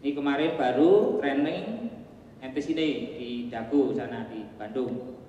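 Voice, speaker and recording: A man with a Javanese accent giving a relaxed conference talk, with joking asides and plenty of fillers. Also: medium pitch, a little slow, highs cut off, a little hiss or hum. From Java. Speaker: conference presenter. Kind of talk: casual technical presentation. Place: large hall. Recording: echoey hall, voice from far off.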